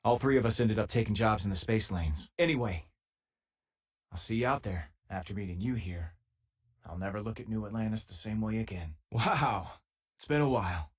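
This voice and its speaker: An actor playing an easygoing, wry spacefaring miner and loyal companion in a video game, with a husky voice and a casual, dry-humoured way of talking. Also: male voice, fairly low pitch, moderate pace. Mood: reflective, friendly. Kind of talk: scripted game voice lines, natural conversational delivery.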